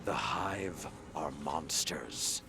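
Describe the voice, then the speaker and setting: An actor playing a stern, grave old warlord with a gravelly voice in a video game cutscene. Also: male voice, lively intonation, music in the background, full character voice. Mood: bitter. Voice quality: deep, gravelly. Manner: slow, solemn, weighty delivery.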